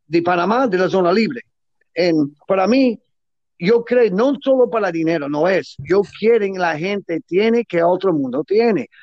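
A middle-aged man who is not a native Spanish speaker gives closing remarks as a guest, talking with eager enthusiasm in halting Spanish full of grammar slips. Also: sits high, expressive, darkish tone, dry recording, muffled recording. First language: not Spanish; Spanish is his second or third language. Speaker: guest, cigar businessman. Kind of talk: closing remarks in a casual interview.

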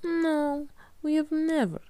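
A woman voicing a cheerful young girl who guides visitors around an ice cream planet, in a bright, sing-song manner. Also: sits high, little pitch movement, slow pace, no echo, voice pushed up. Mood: chatty.

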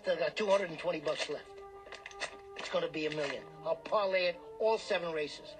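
An actor playing a desperate, greedy small-time gambler, brash and pushy, in a radio drama. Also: male voice, a little high, moderate pace, music in the background, lightly acted. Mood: cocky, excited. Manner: brash, wheedling, slangy, bullying.

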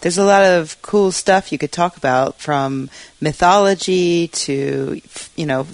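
A woman's voice: fairly low pitch, a little slow, dry recording. A wry woman on a podcast panel. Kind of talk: casual panel discussion.

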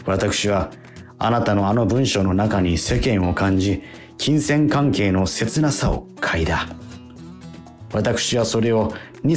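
A man giving a measured, earnest dramatic reading of a literary letter. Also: on the low side. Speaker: radio host reading literature aloud.

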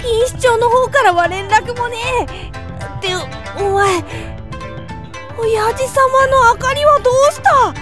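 An excitable little yokai boy, played by a woman, piping and extremely high in pitch. He talks like a brash young downtown tough, in audio drama style, with loud music behind him. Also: slow. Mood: panicked, urgent. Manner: rough, brash old-downtown tough talk. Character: excitable young three-eyed goblin boy in yukata. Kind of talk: Japanese audio drama acting.

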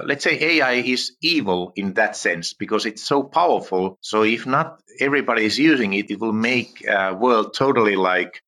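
A man's voice: fairly low pitch, bright, forceful tone. A man with a Finnish accent, answering interview questions earnestly, often searching for words and restarting. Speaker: guest, AI expert and author.

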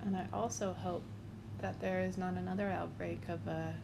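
A woman's voice: fairly low pitch, little pitch movement, normal speed, gravelly. A woman in her twenties chatting casually and upbeat, laughing often. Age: 20s. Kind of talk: casual chat. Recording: noisy background hiss. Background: dog chewing a bone.

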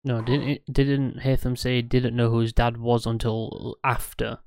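A male podcast co-host chatting about games with a very clean, resonant voice and little change in pitch. He talks hesitantly, trailing off and restarting. The sound is dry and close. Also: monotone, normal speed, vocal fry, darkish tone, smooth, no echo. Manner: hesitant, loses his train of thought. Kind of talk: casual gaming chat.

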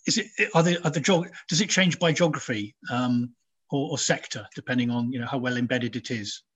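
A man from Nigeria hosting a podcast interview, measured and trailing into low asides. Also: fairly bright tone, breathy edge. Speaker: podcast host.

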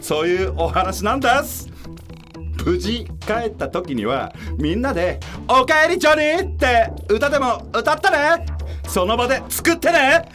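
A high-pitched, swooping voice over clear background music. a flighty, camp business consultant in a comic radio drama, cutesy and chatty, breezing through everything. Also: male voice. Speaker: actor in an audio drama. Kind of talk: comedic radio drama acting.

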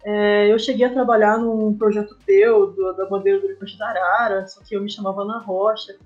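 A woman's voice: mid-range voice, level tone, fairly quick, muffled recording, faint music behind. A woman with a Brazilian Portuguese accent talking casually and informally as a podcast guest, telling stories with plenty of slang. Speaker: guest, comic artist.

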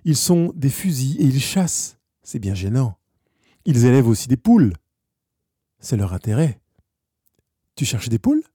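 A man voicing a lonely, wistful fox in a storybook, explaining gently in simple phrases. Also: medium pitch, sing-song, normal speed, long pauses, slightly rough, no echo, studio quality. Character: wistful, lonely wild fox longing to be tamed.

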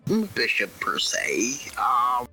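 Performer as unsettling vampire cult leader, bright voice, slow hesitant delivery, breathy, casual, improvised audio drama. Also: mid-range voice, wildly swooping pitch, soft background music, exaggerated voice, poor audio. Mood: calm, matter-of-fact. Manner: halting, offhand, drawn-out hesitant delivery. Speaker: interviewee in roleplay. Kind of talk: unscripted in-character audio drama.

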